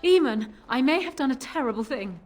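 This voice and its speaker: A calculating young queen in a fantasy game. Her voice is fairly high, formal and commanding, set over background music. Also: expressive, brisk, darkish tone. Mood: guarded concern.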